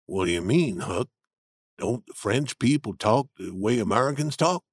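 A man reading aloud voices a stubborn grown man who holds to his common sense. He talks slowly, in a low, rolling voice that swoops up and down, and the sound is close and dry. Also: expressive, breathy edge, dry recording. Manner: slow, insistent, arguing. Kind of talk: audiobook reading.

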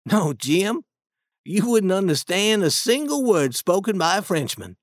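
A man's voice: high voice, very animated, a little slow, no room sound, clean studio sound, pitched above the performer's own voice. A man reading an audiobook, voicing a young boy who patiently reasons an argument step by step. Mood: reasoning.

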